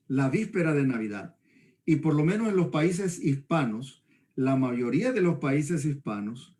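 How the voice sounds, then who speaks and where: A man's voice: on the high side, a little mellow. A man from El Salvador, a pastor teaching conversationally and often checking for agreement. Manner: didactic, often seeks agreement with tag questions. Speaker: pastor discussing the Bible.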